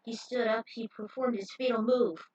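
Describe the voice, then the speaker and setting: A woman's voice: fairly high pitch, steady tone, normal speed, a little mellow, no room sound, muffled recording. A woman narrating action fan fiction aloud as a dramatic story reading.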